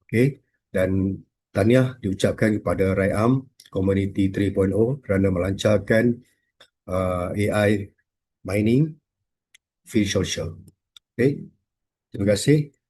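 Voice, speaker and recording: A man from Malaysia, a community representative, giving a halting short speech at a launch event, full of fillers. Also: fairly low pitch, a little mellow, husky. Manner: halting, many fillers, mixes English terms into Malay.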